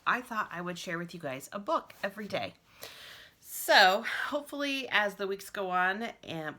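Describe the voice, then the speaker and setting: A woman librarian talking casually to children and reading a picture book aloud, expressively acting out sounds. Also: lowish voice. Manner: expressive, acts out sounds and lines. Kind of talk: friendly casual talk and animated picture-book read-aloud. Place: basement at home.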